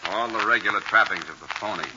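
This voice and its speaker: Low-voiced, brusque police commissioner. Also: male voice, quick pace, gravelly. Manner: brusque, sardonic. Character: gruff, sarcastic, impatient male police commissioner. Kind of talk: 1940s radio acting. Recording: noisy muffled 1940s radio recording.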